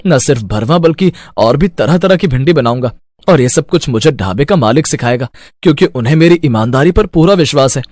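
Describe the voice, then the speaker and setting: A man's voice: medium pitch, animated, a little fast, darkish tone, dry recording, clean studio sound, natural voice. A man voicing an earnest, dutiful teenage son in a cartoon moral story, explaining things reassuringly. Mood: eager. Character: earnest, dutiful fifteen-year-old son of poor mother. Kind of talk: animated moral-story dialogue.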